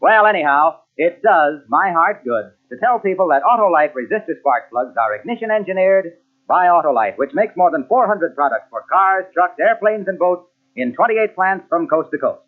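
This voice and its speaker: An old, narrowband radio recording carries an American man as announcer. His high voice moves with great animation through polished, emphatic sales copy. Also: animated, moderate pace. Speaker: sponsor announcer. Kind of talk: vintage radio announcing and ad reading. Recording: muffled narrowband 1940s-50s radio, cassette transfer.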